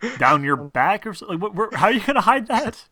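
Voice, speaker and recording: A man's voice: high voice, big pitch swings, fast, a crowd in the background. A man acting as game master, narrating and bantering casually and informally, with some swearing. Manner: informal, profane.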